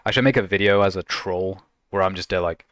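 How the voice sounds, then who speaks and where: A man's voice: low voice, a little fast, a bit bright, no echo. Male streamer, casual, laughs a lot, teasing, dry close mic. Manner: laughs often, teasing, slangy. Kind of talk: casual reaction stream commentary.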